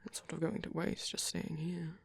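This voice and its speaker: Breathy, creaky, gentle vampire priest acted by a man. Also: on the high side, completely flat delivery, moderate pace, no room sound, exaggerated voice. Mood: tender, reassuring. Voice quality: breathy, soft, often dropping to a whisper. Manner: hesitant, reassuring, tender. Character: gentle, caring male vampire priest, protective and reclusive. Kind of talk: ASMR roleplay, soft intimate monologue.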